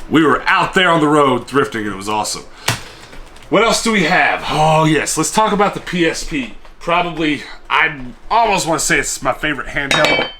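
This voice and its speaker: A man's voice: fairly high pitch, expressive, normal speed, pushed and bright, slight background noise. A man chatting casually in a vlog, showing off his collection and rambling with self-deprecating humor. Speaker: collector vlogger. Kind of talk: casual show-and-tell vlog.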